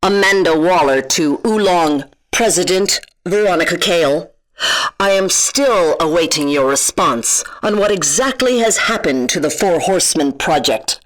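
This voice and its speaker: A woman's voice: fairly low pitch, lively intonation, unhurried, rough recording. An actress voicing a stern female government agency director in a video game, blunt and intimidating, giving orders over radio-style briefings. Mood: threatening. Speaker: game character. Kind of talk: game voice lines.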